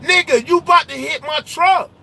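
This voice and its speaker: A man speaking with a high, bright voice rants loudly from inside his truck cab. His talk is slangy and animated, and he often raises his voice. A faint hum of road noise sits behind him. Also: normal speed, creaky. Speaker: truck driver vlogger. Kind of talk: casual ranting chat while driving.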